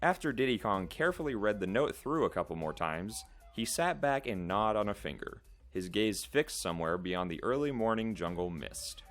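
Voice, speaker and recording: Male narrator, low voice with expressive swings, dramatic storybook read, music underneath. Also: moderate pace, few pauses.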